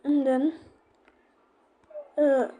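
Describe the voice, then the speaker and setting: A female speaker giving a casual, informal explanation, often halting and searching for words. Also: sits high, little pitch movement, mellow. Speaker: YouTuber explaining horror lore.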